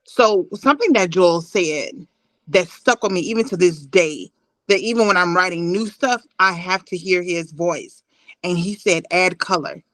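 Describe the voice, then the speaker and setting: A woman's voice: lowish voice, moderate pace, fairly bright tone, no echo, low-quality recording. An American woman sharing a personal story conversationally as a guest in an interview. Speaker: poet and playwright, interview guest. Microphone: phone on a video call.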